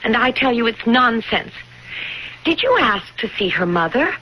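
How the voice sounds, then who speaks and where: An American actress playing a suspicious, insistent young wife with a pointed manner in a 1970s radio drama. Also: female voice, on the high side, sing-song, moderate pace, pushed and bright, exaggerated voice. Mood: indignant, insistent. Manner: pointed, argumentative. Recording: faintly noisy 1970s radio broadcast.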